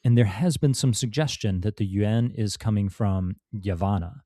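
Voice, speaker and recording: A man's voice: fairly low pitch, moderate pace, mellow, no echo, studio quality. A man, a podcast guest and author, telling a history story in casual talk, with many fillers and restarts. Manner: rambling, many fillers and restarts, laughs now and then.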